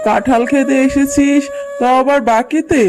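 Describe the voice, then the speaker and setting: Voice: high voice, steady tone, normal speed. A performer voicing a stingy, dismissive tiger uncle who sells fruit in a cartoon, with a curt, scornful manner. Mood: dismissive, annoyed. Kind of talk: children's cartoon voice acting. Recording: faint music behind the voice.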